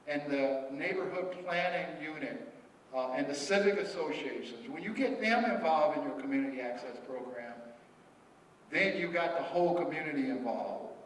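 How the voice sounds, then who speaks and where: Man speaking in a big echoey hall. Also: a little high. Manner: repeats words, halting restarts. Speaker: meeting presenter. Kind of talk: public meeting talk. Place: large echoey meeting hall. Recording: echoey hall audio from far off, faint noise.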